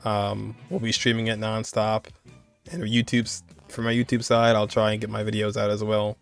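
A man's voice: on the low side, completely flat delivery, normal speed, vocal fry, faint music behind. A young adult man hosting a gaming reaction video with casual commentary.

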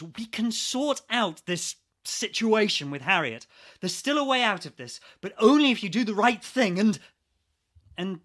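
Man acting sarcastic trapped researcher, higher male voice, bright, sweary, exasperated, radio drama. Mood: desperate pleading. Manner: sardonic, sweary, argumentative.

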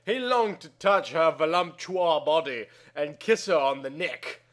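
A man's voice: on the high side, expressive, measured pace, a bit bright. A man reading a story aloud in a theatrical, exaggerated way. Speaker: narrator reading amateur fan fiction aloud.

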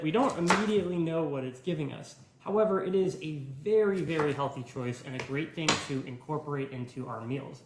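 A young man in his twenties giving a relaxed, step-by-step live cooking demonstration in a home kitchen, filmed by a camera at a distance. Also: a little high, roomy sound, a little hiss or hum. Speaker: cooking instructor.

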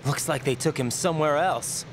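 An actor playing an earnest, naive young special-ops rookie in a video game, with a questioning manner. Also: male voice, fairly high pitch, normal speed, background noise, natural voice. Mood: serious, uneasy. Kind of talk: video game cutscene acting.

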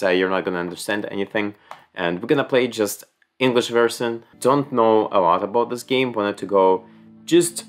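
A young man with a Ukrainian accent chatting casually and reading game text aloud as he plays, recorded at home. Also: on the low side. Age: young adult. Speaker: gaming streamer. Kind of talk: casual let's play commentary. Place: small room at home.

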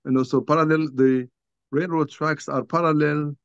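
Older algerian guy telling his story on a video call, halting with lots of restarts, dull dry sound. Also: fairly high pitch, fairly even intonation, clean tone, dry recording. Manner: halting, restarts often, many you-knows. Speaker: guest author. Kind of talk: conversational storytelling. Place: small home room, indoors.

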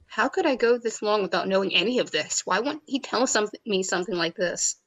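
Woman reading as a sweet earnest college kid, soft-hearted, caring. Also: mid-range voice, lively intonation. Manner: earnest, tearful, quick to sympathize.

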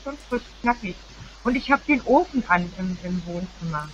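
A woman's voice: fairly low pitch, normal speed, slight background noise. Middle-aged woman on a dull phone line. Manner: relaxed, fillers, trails off, mixes in English. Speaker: co-host. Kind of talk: casual chat. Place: home living room, indoors.

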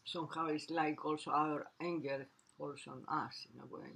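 A man's voice: fairly high pitch, fairly even intonation, normal speed, creaky, pushed and bright. A man casually discussing poems in a reflective, explanatory way. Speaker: listener commenting on poems.

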